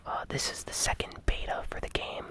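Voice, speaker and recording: A host whispering an ASMR gaming news recap in a breathy, unhurried, hushed and gently enthusiastic way.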